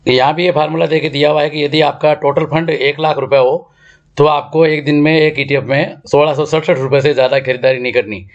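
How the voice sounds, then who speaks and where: Slightly hoarse man teaching in an echoey room. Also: a little high, steady tone, fairly quick, dull audio. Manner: explains step by step, repeats key numbers. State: slightly hoarse from a throat infection. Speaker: stock market educator. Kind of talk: blackboard lesson and screen walkthrough. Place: indoor room with a blackboard.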